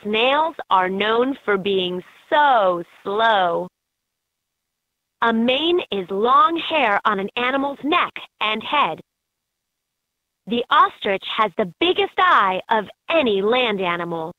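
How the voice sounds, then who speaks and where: A woman voicing an encouraging guide in a children's educational game, narrating in a clearly enunciated, instructive way. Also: fairly high pitch, animated, slow, no room sound. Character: encouraging female guide in a kids' animal learning game. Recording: muffled lo-fi handheld game audio clips.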